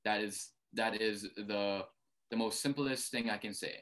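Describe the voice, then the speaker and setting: Earnest young man, low voice. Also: fairly even intonation, measured pace, fairly bright tone. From Hawaii. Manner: earnest, explanatory, frequent fillers and restarts. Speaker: interview guest. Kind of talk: reflective conversation.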